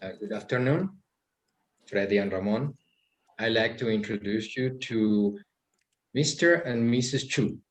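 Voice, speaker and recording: An actor playing a courteous, formal interpreter in a screenplay table read. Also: male voice, fairly low pitch, unhurried, pauses often, close to an everyday voice. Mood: polite. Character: courteous formal male interpreter for home buyers.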